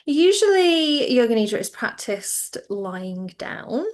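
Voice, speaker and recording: A woman teaching yoga nidra, guiding listeners into relaxation in a gentle, reassuring, informal way. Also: a little high, very animated, slow. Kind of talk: guided relaxation instruction.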